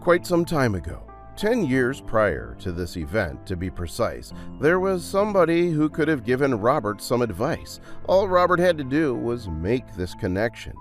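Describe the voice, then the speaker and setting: A man's voice: medium pitch, big pitch swings, moderate pace, few pauses, faint music behind. A man narrating a dramatised storybook adventure in a theatrical, dramatic way.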